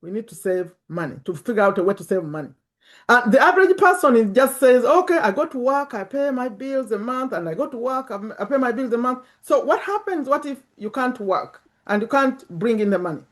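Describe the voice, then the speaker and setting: A woman's voice: on the high side, animated, brisk. A woman in her fifties with a Ugandan accent, giving an informal motivational talk and repeating words for emphasis. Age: 50s. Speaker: personal finance YouTuber and community host. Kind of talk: informal motivational talk to camera and online group.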